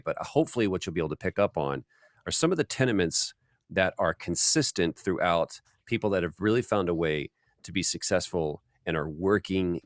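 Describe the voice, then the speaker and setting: A man with a low voice hosts a relaxed workshop interview. His delivery is informal, chatty and animated, like someone swapping stories. The sound is dry, with very little room on it. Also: no room sound. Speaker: host.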